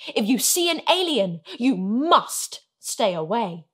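Woman as a cautious storybook mum, slow and deliberate. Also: fairly high pitch, very animated, exaggerated voice. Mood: warning. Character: cautious, protective human mother of a girl. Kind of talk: children's storybook character voice.

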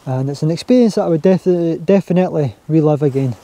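Mellow-voiced Scottish man outdoors. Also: on the high side, fast talker. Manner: relaxed, chatty, easygoing. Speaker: outdoor vlogger. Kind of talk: casual talk to camera. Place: outdoors on a windy hilltop campsite. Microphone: handheld camera or mini tripod.

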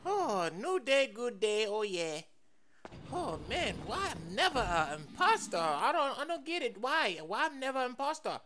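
Woman as lively, bright cartoon crewmate. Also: a little high, a little slow, slight background noise. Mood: glum, puzzled. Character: whiny, sassy cartoon crewmate. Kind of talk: animated game parody comedy.

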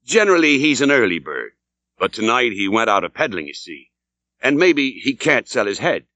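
A man reading aloud and voicing a sly, teasing old innkeeper with a rough seafaring dialect drawl. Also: mid-range voice, very animated, moderate pace, pushed and bright, no echo, dull audio.

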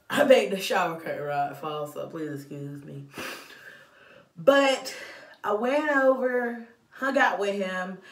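A young woman from Florida telling a storytime to the camera, animated and slangy, talking straight to her viewers. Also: mid-range voice, far from the mic. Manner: animated, gestures a lot, slangy asides to viewers. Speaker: vlogger. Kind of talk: casual storytime. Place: small bathroom indoors. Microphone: phone on a stand, mid-distance. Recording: echoey bathroom, off-mic, faint music.

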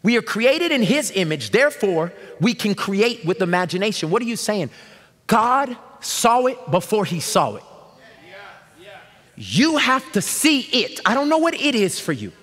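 Fiery animated preacher man. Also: high-pitched, measured pace. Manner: animated, shouting call-and-response preaching. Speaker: pastor. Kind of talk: sermon. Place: large church stage with drum kits. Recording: long reverb of a large hall.

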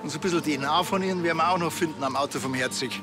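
A shrewd, grumbling Bavarian policeman played by a man with a fairly high voice for a male. He is terse and dry, a know-it-all in a TV crime comedy, with music clearly behind him. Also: racing, natural voice. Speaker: police officer.

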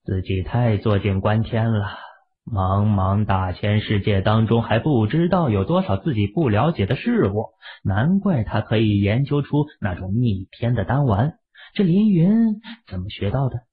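A man narrating an audiobook drama in a lively storytelling manner. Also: lowish voice, measured pace, breathy edge. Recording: muffled phone-like audio.

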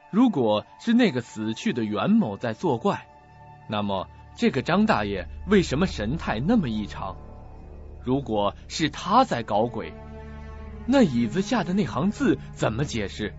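Animated male suspense narrator. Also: mid-range voice. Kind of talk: dramatic suspense story narration. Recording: muffled lo-fi audio with music.

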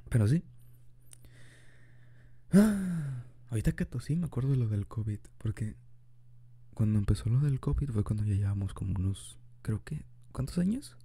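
A man's voice: on the low side, dark tone, slightly rough, no room sound. A young man improvising an ASMR boyfriend roleplay, rambling chattily and laughing often into a close mic. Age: young adult.

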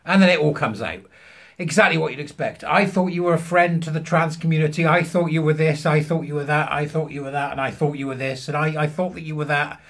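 A British man in his fifties telling an anecdote to camera, emphatically acting out both sides of a conversation in a husky voice. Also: a little high, steady tone, fairly quick, fairly bright tone. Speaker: vlogger. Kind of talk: animated anecdote told to camera. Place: small furnished room at home, indoors.

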